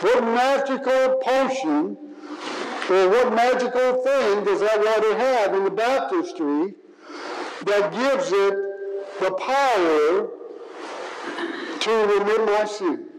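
A man preaches at an unhurried pace, drawing out his key words to drive each point home. His voice sits high for a man. A faint hiss of background noise runs under the recording. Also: poor audio. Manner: slow, deliberate preaching, stretching key words for emphasis. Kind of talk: sermon.

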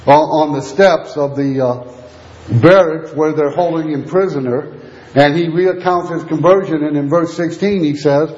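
Hoarse-edged man preaching in an echoey room. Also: on the high side, moderate pace, lo-fi sound. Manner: steady teaching delivery with scripture readings. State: losing his voice. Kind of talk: sermon.

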